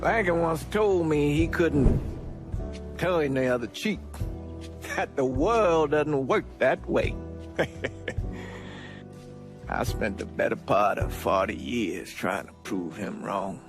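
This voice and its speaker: A man's voice: medium pitch, slow, long pauses. Weary, embittered older man. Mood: grave, regretful. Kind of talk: documentary-style reflective testimony, acted. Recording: music bed under the voice.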